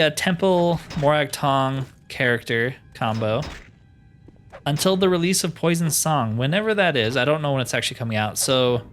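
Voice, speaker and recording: A man's voice: medium pitch, fairly bright tone. Man thinking aloud over game music. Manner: musing, talks to himself in questions. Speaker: host of a gaming roleplay series. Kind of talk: casual let's play commentary, thinking aloud. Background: music behind the voice.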